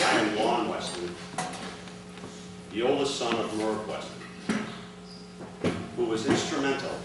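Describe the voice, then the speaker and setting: A middle-aged man reading a prepared formal speech aloud to a gathering, with deliberate phrasing and emphatic sentence openings. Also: on the low side, far from the mic. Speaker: speaker reading his father's prepared account. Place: large indoor room, addressing a gathering. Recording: echoey, noisy hall recording from afar.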